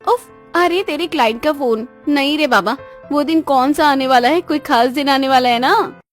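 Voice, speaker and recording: A woman's voice: sits high, normal speed, soft background music, natural voice. A woman voicing a spoiled, boastful rich young woman in a cartoon, taunting in a showy, exaggerated way. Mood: excited, pestering. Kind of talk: cartoon moral-story dialogue in Hindi.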